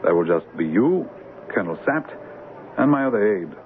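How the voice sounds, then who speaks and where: A jovial, imperious king in an old radio play. His low voice swings widely and expansively, with impulsive regal flourishes. The sound is thin and narrow-band, with some hiss. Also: sing-song, moderate pace. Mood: genial, expansive. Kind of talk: 1970s radio drama acting. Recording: noisy narrow-band vintage radio broadcast.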